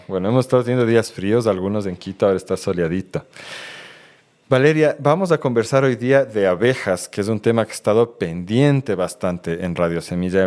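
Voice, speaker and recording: A man with a very low voice hosts a podcast and talks to his guest in a friendly, relaxed way. His voice is recorded dry and close, with no room sound. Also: no room sound. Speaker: podcast host. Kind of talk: conversational podcast interview.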